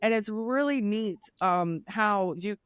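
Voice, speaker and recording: A middle-aged woman with a deep voice, a nurse and coach speaking candidly as an interview guest. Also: slow pace, clear, resonant tone, no echo. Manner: candid, animated storyteller with laughs. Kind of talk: casual interview conversation.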